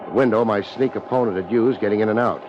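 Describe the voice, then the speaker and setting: A man's voice: on the low side, quick pace, natural voice. Man as wry American detective, muffled radio. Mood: dry narration. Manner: sardonic, deadpan, wisecracking. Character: wry, laid-back private detective narrating his case. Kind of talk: 1950s radio detective drama, hard-boiled narration. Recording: muffled, hissy 1950s radio recording.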